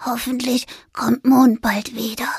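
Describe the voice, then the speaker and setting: A timid little ghost, as a woman puts him on, breathing out soft, whispery words slowly and sadly. His voice sits a little high and airy, recorded close and dry. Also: fairly even intonation, breathy edge, no room sound, heavily acted. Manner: soft, downcast and hesitant. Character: small sad ghost, gentle and timid.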